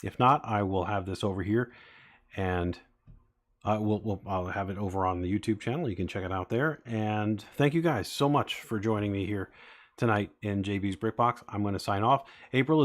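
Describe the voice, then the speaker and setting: Forties man, lowish voice, relaxed rambling streamer talking to his chat. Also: normal speed. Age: 40s. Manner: rambling, chatting to viewers with many fillers. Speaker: streamer building toy sets. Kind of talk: casual live-stream chat.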